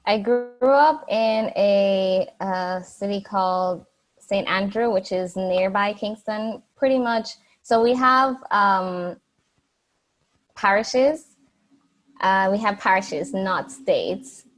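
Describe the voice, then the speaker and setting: Young woman, podcast guest, chatty storyteller with fillers, mid-pitched voice. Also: takes it slowly. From Jamaica. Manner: chatty, frequent restarts and fillers. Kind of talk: casual interview answers.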